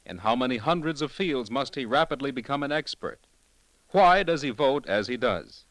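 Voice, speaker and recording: A man announcing for a 1940s radio network, reading a script in a formal, polished and measured way. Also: mid-range voice, lively intonation, fairly bright tone, dry recording, poor audio. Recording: dry vintage radio broadcast, faint music.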